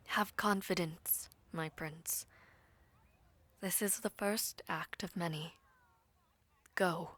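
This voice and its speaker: Woman doing a poised fantasy villainess, formal and devoted, slightly low voice, really slow and breathy, audio roleplay style. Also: fairly bright tone. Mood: calm, reassuring. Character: formal, composed villainess, devoted adviser to a prince. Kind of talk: fantasy audio roleplay with first-person narration.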